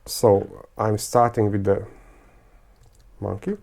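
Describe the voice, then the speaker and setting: Gravelly-voiced man. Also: lowish voice, little pitch movement, darkish tone. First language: Croatian, Serbian and Hungarian. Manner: thinking aloud, halting, many fillers and restarts. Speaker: teacher. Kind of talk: screen-recorded software tutorial.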